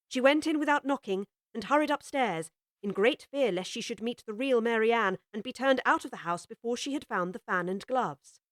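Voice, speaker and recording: A woman narrating a classic children's story in a measured, gently expressive way. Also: a little high, no echo, studio quality.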